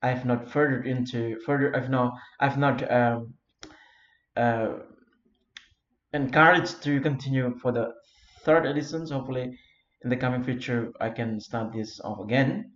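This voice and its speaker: A man from Flores in eastern Indonesia with an Indonesian accent, giving a simple self-made lecture in English, often pausing with fillers and repeated words. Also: medium pitch, steady tone, a bit bright. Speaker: founder of an English learning community. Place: Europe. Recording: roomy, dull lo-fi recording.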